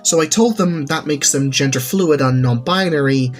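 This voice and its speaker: A man's voice: a little high, normal speed, lightly acted. A man voicing an awkward, easily flustered young woman who stammers and hesitates in a narrated story. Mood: awkward explaining. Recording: music under the voice.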